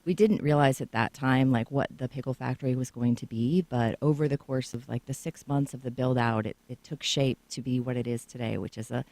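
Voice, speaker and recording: A woman's voice: a bass voice, a little fast, few pauses, a little mellow, no room sound, rough recording. Talkative woman guest in dry studio. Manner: talkative, lengthy storytelling answers. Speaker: co-founder guest. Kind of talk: radio interview answers. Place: radio studio.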